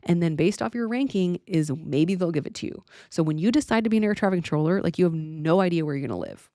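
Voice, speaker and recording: An American woman with a low voice talks straight to her viewers in a casual vlog style. She is blunt and colloquial, leaning hard on her points, and the sound is close and dry. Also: fast talker, dark tone, no room sound. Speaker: aviation vlogger and pilot. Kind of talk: casual opinion commentary to viewers.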